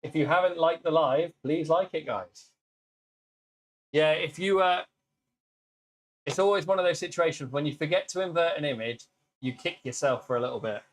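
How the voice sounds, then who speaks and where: A British man with a naturally loud voice, hosting a casual live stream demo and chatting warmly with viewers. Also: a little high, normal speed, long pauses. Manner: chatty, upbeat, greets viewers by name. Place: workshop with laser engravers.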